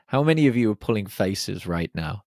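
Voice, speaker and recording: A man hosting his own podcast, talking alone in a lively, animated way with plenty of exclamations. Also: on the low side, fairly quick, no echo, studio quality. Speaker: podcast host. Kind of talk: solo podcast monologue. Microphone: podcast microphone.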